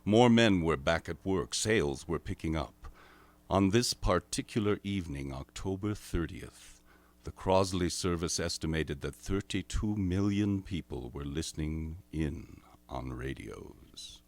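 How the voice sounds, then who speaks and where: A man playing a grave narrator of an alien invasion tale in a radio drama, solemn, measured and ominous. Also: low voice, dry recording. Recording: dry close recording with music behind.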